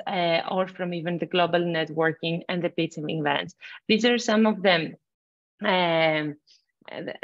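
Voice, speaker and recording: Woman presenting with a low, muffled sound. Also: no room sound. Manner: hesitant, many fillers. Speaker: webinar speaker. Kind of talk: presentation talk.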